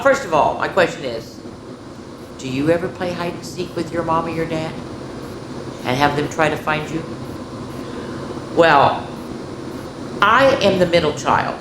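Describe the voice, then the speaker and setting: An older woman hosts a children's storytime, reading aloud and chatting in an expressive, folksy way straight to young listeners. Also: deep voice, moderate pace, pauses often. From rural United States, farm. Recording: echoey room with background noise.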